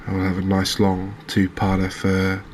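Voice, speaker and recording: A man giving gentle, unhurried ASMR commentary as he plays a game, pausing and hesitating as he goes. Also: low voice, completely flat delivery, slight background noise. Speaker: host of a gaming channel. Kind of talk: ASMR let's-play commentary.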